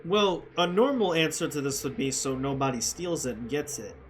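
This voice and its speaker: A streamer giving casual let's-play commentary, playful and slangy, reacting out loud. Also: medium pitch, lively intonation, moderate pace, slight background noise. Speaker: gameplay streamer. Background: game music.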